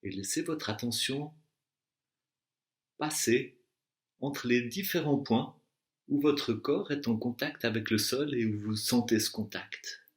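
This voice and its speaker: A man teaching meditation, guiding a deep relaxation with slow, deliberate instructions and long pauses. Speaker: yoga nidra and meditation teacher. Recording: echoey room sound.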